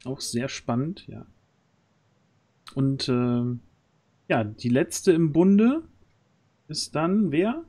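A man's voice: fairly high pitch, very slow, pauses often, dark tone. A man in his thirties hosting a casual livestream chat in a jovial way, speaking close to a microphone. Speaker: stream host. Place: small dark room at home. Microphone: large microphone close to the mouth.

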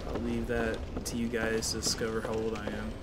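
A man's voice: fairly low pitch, completely flat delivery, fairly quick, background noise. Young-sounding man swearing through gameplay. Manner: swears a lot, laughs often, rambling with fillers. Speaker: let's play gamer. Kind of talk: casual gameplay commentary.

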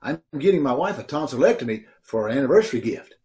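A man's voice: medium pitch, expressive, fast, darkish tone, natural voice. A man acting a hapless, matter-of-fact jobless brother in an old-time radio comedy.

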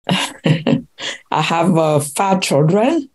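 A woman's voice: fairly low pitch, lively intonation, extremely slow, husky. An older woman from Cameroon, a guest relationship coach, talking in an interview with many fillers and restarts. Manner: hesitant, many fillers and restarts, laughs often. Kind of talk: interview conversation.

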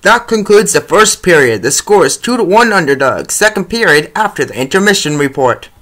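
Higher-pitched man announcing a hockey game. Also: big pitch swings, a little fast, fairly bright tone. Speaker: announcer and narrator of an animated hockey comic dub series. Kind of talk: scripted play-by-play narration, reading out scores and speech bubbles.

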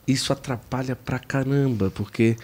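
A man's voice: mid-range voice, fairly even intonation, moderate pace, crowd noise. A Brazilian man hosting a podcast, eager and encouraging, talking at a desk mic in a small studio. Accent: Brazilian Portuguese. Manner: eager, encouraging, exclaims often. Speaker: podcast host.

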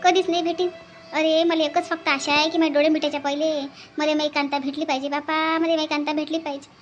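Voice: high-pitched, flat delivery, fast talker, dull audio, faint music behind, natural voice. A performer voicing a grieving old village mother in a cartoon, plaintive and repetitive, with a rural Vidarbha Marathi accent. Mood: sorrowful longing. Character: grieving old village mother longing for her lost daughter. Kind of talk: melodramatic cartoon dialogue.

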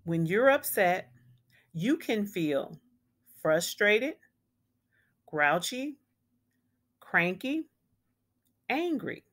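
A woman reading a children's picture book aloud as a storyteller, with deliberate, emphatic phrasing for young listeners. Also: medium pitch, sing-song, a bit bright. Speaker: read-aloud storyteller and educator.

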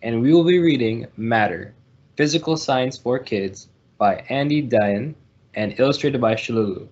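A man's voice: medium pitch, moderate pace, a little mellow. A man hosting and introducing a children's storytime over a video call. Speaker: host. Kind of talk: scripted show introduction. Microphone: video call microphone. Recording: dull, lo-fi video call audio.

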